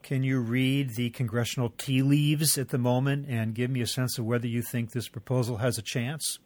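A man hosting a podcast interview, asking questions in a measured, articulate way. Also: medium pitch, steady tone, a little mellow, no room sound.

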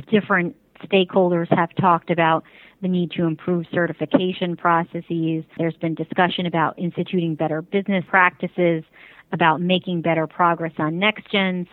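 A woman with a slightly low voice answers interview questions as a spokesperson. She is careful and diplomatic, and the sound is thin and phone-like, with no room echo. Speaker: interview guest, advocacy group spokesperson. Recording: tinny phone line.

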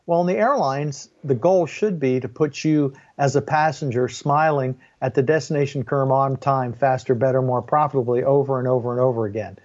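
An older man speaks as an interview guest with a pilot's background. He lays out his explanations firmly and with clear opinions, at a middling pitch. The sound is somewhat dull and lo-fi. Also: fairly quick, hardly any pauses, darkish tone. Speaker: interview guest, former airline and Air Force pilot.

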